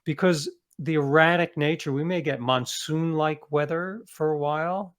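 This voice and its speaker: An American man speaking as an interview guest, explaining things conversationally and earnestly, often halting and rephrasing as he goes. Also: on the high side, fairly even intonation.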